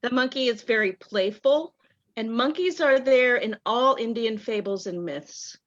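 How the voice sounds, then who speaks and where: A woman reading out a playwright's answers in an online talk back, warm and enthusiastic. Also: a little high, unhurried. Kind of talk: video call talk back. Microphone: video call. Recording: dull lo-fi call audio.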